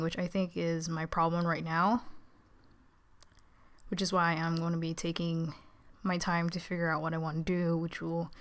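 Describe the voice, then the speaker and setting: A teenage girl with a naturally low voice, tired and subdued, giving a casual, rambling, hesitant update as a vlogger. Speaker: video channel creator.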